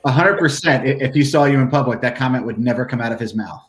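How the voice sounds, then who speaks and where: A man's voice: mid-range voice, fast talker. A man co-hosting a motorcycle channel livestream, easygoing and teasing, steering the chat to new questions.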